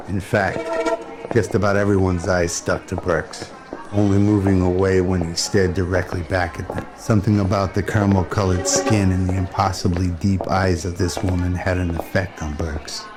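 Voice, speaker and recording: A man's voice: deep voice, level tone, moderate pace. A man reading scripted narration for a sci-fi radio drama. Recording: loud music behind the voice.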